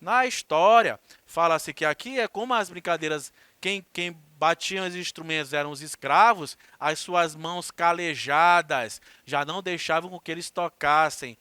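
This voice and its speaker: A man's voice: on the high side, moderate pace, few pauses, pushed and bright, smooth, dry recording. A man teaching a recorded class, explaining things with chatty asides to his students.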